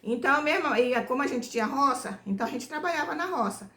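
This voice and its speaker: A woman's voice: high-pitched, expressive, quick pace, a bit bright. A middle-aged woman from Bahia, telling her life story to her viewers in a homespun, rambling way, tagging nearly every phrase with "né?". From Bahia, Brazil. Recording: echoey room.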